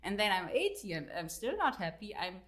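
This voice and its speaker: A woman's voice: mid-range voice, big pitch swings, fairly quick, fairly bright tone. A woman in her late thirties with a German accent, chatting as a guest in an interview. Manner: chatty, many fillers, laughs easily. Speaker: guest author. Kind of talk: casual interview chat.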